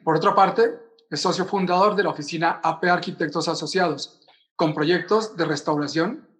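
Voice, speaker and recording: Moderator, male, higher voice, measured introduction read with small stumbles. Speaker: seminar moderator. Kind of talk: reading a speaker introduction.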